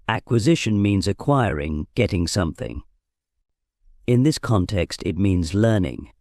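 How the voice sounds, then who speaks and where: Calm low-voiced man explaining language learning. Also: no room sound, studio quality. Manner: calm, explains and defines words. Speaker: language teacher. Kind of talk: scripted podcast narration.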